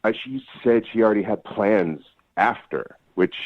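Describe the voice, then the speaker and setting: A man's voice: fairly low pitch, moderate pace, no room sound. A man calling in to a radio show, chatting casually in a slangy, self-deprecating and jokey way. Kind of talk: casual chat. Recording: dull phone-call audio.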